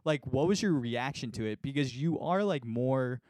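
A man's voice: medium pitch, lively intonation, normal speed, darkish tone, no room sound, studio quality. A young man hosting a casual interview asks long, meandering questions packed with "like.". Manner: rambling questions, filled with "like".

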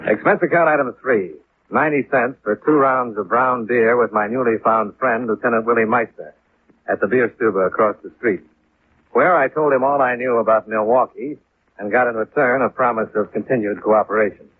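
A man's voice: medium pitch, moderate pace, natural voice. A man playing a wry, hard-boiled American insurance investigator in a 1950s radio detective drama, with clipped, dry delivery. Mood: brisk, wry narration. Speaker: lead actor and narrator. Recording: muffled, lightly noisy 1950s radio recording.

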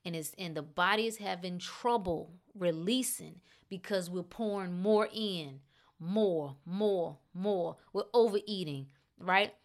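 A woman's voice: fairly low pitch, takes it slowly. A woman talking casually to her viewers about cleansing the body. She stresses her points and repeats phrases for emphasis, with chatty asides. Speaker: health and detox vlogger. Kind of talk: casual talk to camera.

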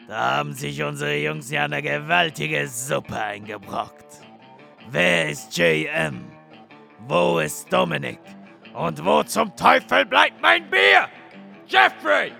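A man's voice: a little high, vocal fry, pushed and bright, exaggerated voice. A man playing a pompous, grumpy narrator of a comic detective audio drama, speaking theatrically. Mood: suspenseful, then irate. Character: pompous, grumpy male storyteller of a detective serial.

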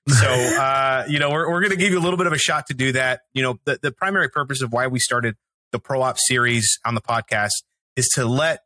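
Bright-voiced Texan man, chatty co-host. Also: medium pitch, a little fast, no echo. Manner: chatty, full of restarts and fillers. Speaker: podcast co-host, attorney. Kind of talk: podcast interview.

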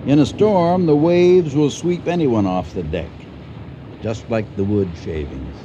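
An actor playing a dry, skeptical older farmer husband in a radio drama, wry and understated in manner. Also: male voice, mid-range voice, measured pace, dark tone, close to an everyday voice. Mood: dry, suspicious. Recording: noisy, dull old radio drama recording.

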